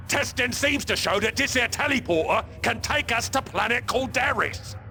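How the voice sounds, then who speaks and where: High, sharp ork mechanic sidekick. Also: male voice, expressive, normal speed, gravelly, faint music behind, full character voice. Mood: matter-of-fact. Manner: ungrammatical, reporting to his boss. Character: matter-of-fact male ork mechanic sidekick. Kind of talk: video game cutscene acting.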